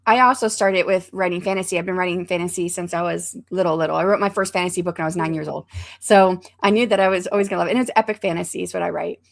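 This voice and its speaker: A woman hosting a casual interview over a video call, chatty and quick to laugh off her own stumbles. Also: fairly low pitch, steady tone, gravelly, no room sound, studio quality. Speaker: host.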